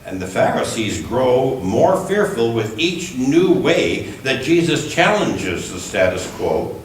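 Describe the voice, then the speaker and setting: A man reading scripture aloud at a church service in a measured, deliberate way. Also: mid-range voice. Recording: echoey room, distant mic, faint noise.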